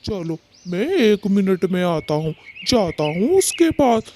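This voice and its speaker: A man's voice: high voice, big pitch swings, takes it slowly, darkish tone, exaggerated voice. A man voicing a blunt, angry, protective husband pigeon in a Hindi cartoon story, confrontational in manner. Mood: indignant.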